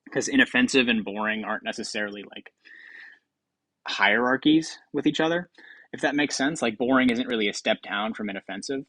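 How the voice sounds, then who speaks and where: A man's voice: on the low side, pushed and bright, no room sound. A man giving casual, rambling commentary as a video host, hesitant and self-deprecating, close to the mic. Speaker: video host ranking game voice lines.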